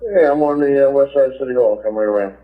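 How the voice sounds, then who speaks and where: A man's voice: mid-range voice, fast. Male officer on police radio, mid-range voice, heard through a thin narrowband scanner.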